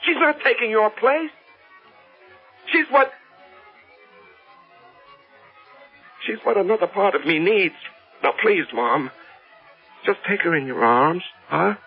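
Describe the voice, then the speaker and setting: Obsessed, stubborn American businessman, husky and wildly varied in pitch, 1970s radio drama, tinny band-limited sound. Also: expressive, faint music behind, exaggerated voice. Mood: pleading. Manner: emphatic, insistent, halting when flustered.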